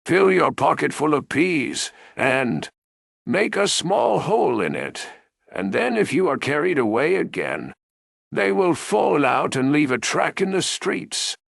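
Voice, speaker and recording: A man voicing a scheming, fatherly king in a storybook, giving firm instructions deliberately. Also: a little high, huge pitch swings, gravelly, a bit bright, no echo, clean studio sound, exaggerated voice. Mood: advising. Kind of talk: storybook character voice.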